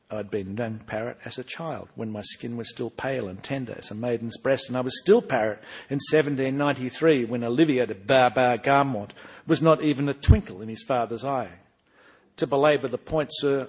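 Man reading as a sharp cheeky boy who loves books, husky, punchy animated telling. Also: mid-range voice, voice pushed up, lightly acted. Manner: animated storytelling with emphatic rises. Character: sharp-tongued boy narrator, bookish and cheeky. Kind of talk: reading aloud from his own book.

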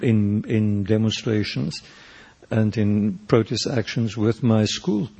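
An older man from South Africa whose first language is Afrikaans, with a South African accent, answering interview questions in a thoughtful, halting way with many restarts. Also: lowish voice, creaky, warm tone, husky. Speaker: poet and painter, interview guest.

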